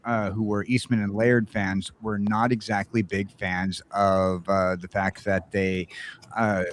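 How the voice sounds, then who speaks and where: A man's voice: fairly low pitch, little pitch movement, a little slow, a little hiss or hum. A man hosting a laid-back morning show, chatting and steering the discussion with questions for his guests. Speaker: host. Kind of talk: casual morning-show chat.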